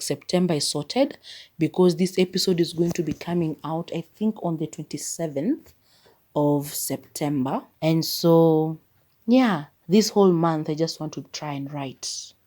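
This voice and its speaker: Low, mellow-voiced Kenyan woman. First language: Maragoli. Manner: chatty and rambling, leans on "like" as filler. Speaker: podcast host. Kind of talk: casual solo podcast monologue.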